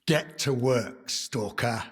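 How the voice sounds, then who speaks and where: Gruff-edged military leader man in a game, raspy, businesslike, medium pitch. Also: slow. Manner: matter-of-fact, authoritative. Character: businesslike male leader of armed stalker men. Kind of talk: video game dramatic acting.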